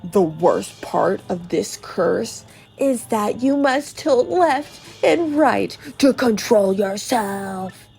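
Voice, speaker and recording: Lady doing an evil gleeful monster from a game chest, taunting, low creaky slow drawl, cackly, music behind. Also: lively intonation, dark tone. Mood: grudging explaining. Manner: taunting, gloating, drawn-out cackles and chortles. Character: gleeful evil cursed creature from a chest, mocking trickster. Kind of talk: dramatic video game dialogue reading.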